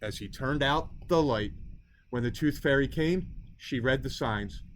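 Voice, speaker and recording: A man narrating a children's storybook as a storyteller, in expressive scripted narration. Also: medium pitch, measured pace, a little hiss or hum. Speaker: storyteller reading a children's book.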